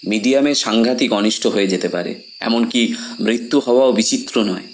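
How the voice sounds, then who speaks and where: An actor playing a composed, persuasive séance organiser who explains earnestly in an audio horror drama. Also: male voice, mid-range voice, a little fast, a little hiss or hum, close to an everyday voice. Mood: calm explaining.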